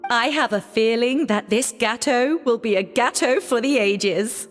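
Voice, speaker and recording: An actress playing a discerning, frank and effusive food judge in a video game, speaking like a connoisseur. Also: female voice, a little high, unhurried, in a large hall, faint music behind, lightly acted. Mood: anticipating. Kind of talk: video game cutscene acting.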